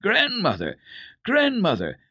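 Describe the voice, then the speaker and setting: A man voicing an excited, affectionate little girl in a storybook reading, exclaiming breathlessly. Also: sits high, takes it slowly, husky, no echo, studio quality, voice pushed up, exaggerated voice. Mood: excited delight.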